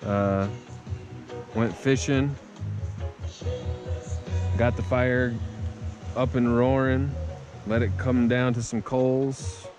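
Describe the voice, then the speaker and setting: A man's voice: medium pitch, steady tone, drawn-out words, long pauses, over music. An American man giving casual, plainspoken first-person commentary as an outdoor vlogger, recorded on a body-worn camera in the woods. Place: outdoors in woods beside a river. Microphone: body-worn action camera.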